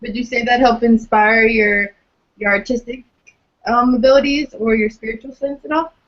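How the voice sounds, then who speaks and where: A woman's voice: a little high, steady tone, moderate pace, muffled recording. A woman hosting a casual podcast interview, putting questions to a guest in a conversational way.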